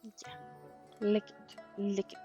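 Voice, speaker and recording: A woman's voice: medium pitch, completely flat delivery, slow pace, mellow, highs cut off. A woman game streamer giving casual commentary in short murmured reactions and reading dialogue aloud. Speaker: streamer playing a game as a VTuber. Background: game music.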